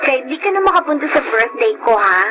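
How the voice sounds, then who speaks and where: Young filipino bestie, high lively voice, motherly fussing, radio drama, music behind. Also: brisk. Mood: comforting. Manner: coaxing, mixes English into Tagalog. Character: caring, fussing young female friend, motherly and expressive.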